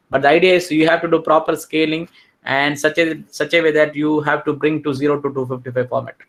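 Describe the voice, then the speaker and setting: A man's voice: a little high, fairly bright tone. Indian man explaining a technical webinar. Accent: Indian English. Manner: explains step by step, frequent "right?". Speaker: webinar speaker. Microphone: video call.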